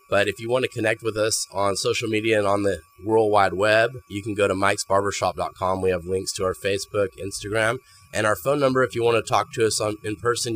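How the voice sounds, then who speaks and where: A man's voice: on the low side, a bit bright. A man, a barbershop owner chatting casually in an interview inside a small shop, often repeating words as he thinks. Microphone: handheld mic held by interviewer.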